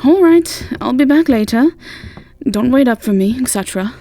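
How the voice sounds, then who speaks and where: A woman performs a cocky, mischievous woman at a fantasy inn. The voice sits somewhat high, with brash, playful needling for an audio roleplay. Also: expressive, normal speed, dark tone. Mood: breezy.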